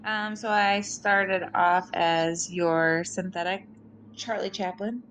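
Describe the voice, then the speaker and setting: A woman chatting casually as a roleplaying game player, recapping her character in halting, hesitant phrases. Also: medium pitch, fairly even intonation, a bit bright, a little hiss or hum.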